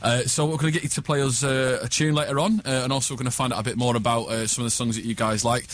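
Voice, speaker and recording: A man's voice: mid-range voice, steady tone, rapid-fire. A man hosting radio, chatting informally and jokily with guests in a studio. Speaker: radio breakfast show host. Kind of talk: casual live radio chat with guests. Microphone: studio microphone.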